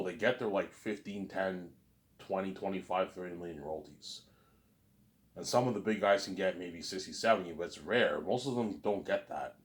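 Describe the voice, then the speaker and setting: Deep-voiced man, mild Canadian accent, loose rambling solo talk full of restarts. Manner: rambling, repeats and restarts words. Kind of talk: casual monologue.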